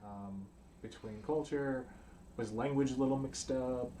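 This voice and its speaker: A man's voice: medium pitch, a little slow, warm tone, slight background noise. A man hosting a podcast, asking casual, rambling interview questions. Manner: brief affirmations, rambling questions. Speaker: podcast host.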